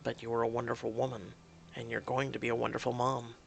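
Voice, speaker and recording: A narrator voicing a desperate, apologetic young man who pleads and defends himself haltingly, in an audio drama. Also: mid-range voice, animated, creaky, fairly bright tone.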